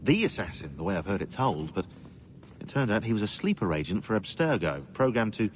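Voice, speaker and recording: An actor playing a dry, fussy, knowing researcher in a game, articulate and wry. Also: male voice, on the low side, very animated, brisk, a little hiss or hum. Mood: wry, explanatory. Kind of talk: video game cutscene acting.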